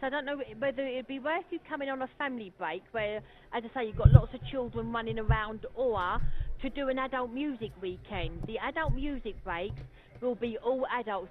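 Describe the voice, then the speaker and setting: A British woman working as a booking agent, explaining things politely and frankly in a customer service call. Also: a little high. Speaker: holiday resort booking agent. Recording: tinny, narrow telephone line.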